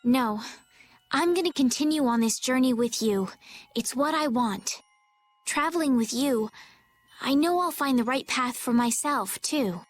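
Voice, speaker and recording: A woman playing a gentle, sincere, idealistic young noblewoman in a dubbed anime game, polite and earnest. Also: high-pitched, unhurried. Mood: warm resolve.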